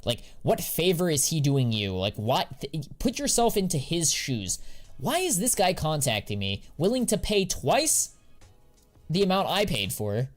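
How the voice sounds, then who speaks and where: A young American man chatting casually on a live stream, answering viewers in a relaxed, slangy way. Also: on the high side, measured pace, soft background music. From United States. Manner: slangy and laid-back, drawls filler words.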